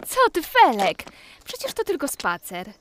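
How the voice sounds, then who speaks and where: A woman's voice: high voice, huge pitch swings, unhurried, background noise, heavily acted. A woman playing an eager, curious little girl full of wonder in a children's audio play. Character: curious, enthusiastic little girl exploring with brother.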